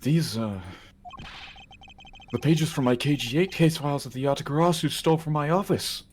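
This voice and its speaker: A fan dub performer voicing a composed, analytical young male prosecutor in a formal, measured way. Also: medium pitch, darkish tone, soft background music, natural voice. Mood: serious, probing. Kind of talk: hobby fan dub of a video game.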